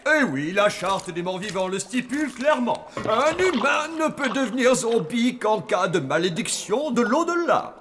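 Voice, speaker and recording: Pompous zombie dad. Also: male voice, high voice, sing-song, normal speed, hardly any pauses, a bit bright, slight background noise. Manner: formal, pompous. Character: zombie father running a hotel, pompous.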